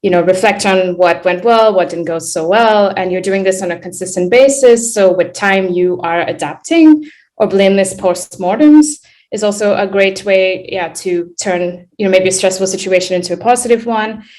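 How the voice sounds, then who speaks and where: A woman's voice: medium pitch, normal speed, a little mellow. A woman from India with an American accent, speaking casually and thoughtfully as a panelist on a video call, with many fillers. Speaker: panelist, engineering director. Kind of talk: panel discussion.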